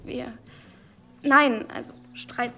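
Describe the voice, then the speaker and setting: An actress playing an anxious, evasive village girl in an audio drama, halting and repeating herself as she explains. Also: high voice, fairly even intonation, creaky, pushed and bright, exaggerated voice. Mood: nervous, defensive. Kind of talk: German audio drama acting.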